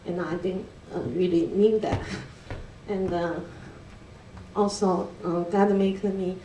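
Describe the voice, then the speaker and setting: A middle-aged woman, a native Chinese speaker with a Chinese accent, gives a nervous, halting testimony in English with many fillers and restarts. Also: lowish voice, fairly even intonation, warm tone, slight background noise. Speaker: church member giving a testimony. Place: large church hall indoors. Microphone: handheld mic, picked up from a distance. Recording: echoey hall recorded from far off.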